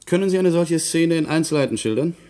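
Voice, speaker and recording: An actor playing a formal, probing investigator in a science-fiction radio play, questioning in an insistent, pointed way. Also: male voice, on the high side, animated, quick pace, warm tone, lightly acted. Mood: insistent, curious. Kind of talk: German science-fiction radio drama acting.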